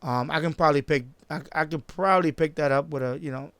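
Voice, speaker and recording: A man chatting off the cuff on a live stream, halting and repeating himself as he circles back to his points. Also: a little high, fairly bright tone, smooth, dry recording. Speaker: live-stream host, radio hobbyist. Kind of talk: casual unscripted live-stream chat.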